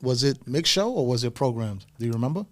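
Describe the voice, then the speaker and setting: A man's voice: mid-range voice, animated, quick pace. A man co-hosting an interview, asking short, direct questions in casual slang. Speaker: interviewer, co-host.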